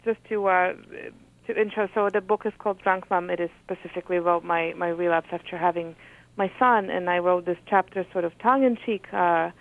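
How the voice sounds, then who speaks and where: A woman whose first language is Polish, an author reading from her book and talking thoughtfully with many pauses. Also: on the low side, no echo. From Poland. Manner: thoughtful, hesitant with frequent ums. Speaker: author, guest. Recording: phone-like radio audio.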